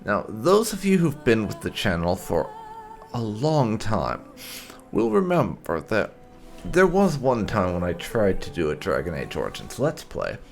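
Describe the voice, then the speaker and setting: Low, creaky man with lively intonation. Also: measured pace, soft background music. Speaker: host of a gaming channel. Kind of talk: let's play introduction, casual commentary.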